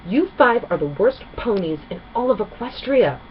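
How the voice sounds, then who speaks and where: Woman voicing a brash, loud female griffin barker, pitched up. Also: expressive, normal speed, highs cut off, a little hiss or hum, natural voice. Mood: screeching anger. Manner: loud carnival barker delivery. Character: brash, shouting female griffin ticket hawker, bossy and scheming.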